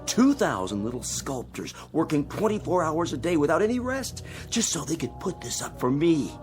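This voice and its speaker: Over a clear music bed, a smug male space crewman who fancies himself a god. His delivery swings widely in pitch, theatrical and mocking, full of cynical self-importance in radio drama style. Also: very animated, normal speed. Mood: smug, gloating. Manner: gloating, grandiose, mockingly theatrical.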